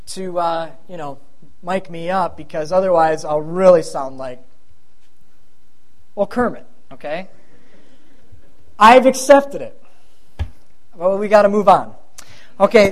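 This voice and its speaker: A middle-aged man with a thin voice giving a sermon as pastor, chatty and self-deprecating with rambling asides. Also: high voice.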